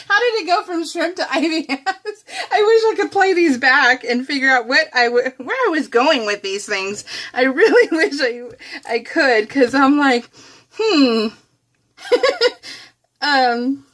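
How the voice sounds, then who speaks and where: A woman's voice: sits high, sing-song, slow, a bit bright. An American woman chatting casually to viewers as a craft vlogger, giggly and often laughing through her words, wandering off on tangents. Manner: self-deprecating, wanders off topic. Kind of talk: casual, rambling chat to viewers.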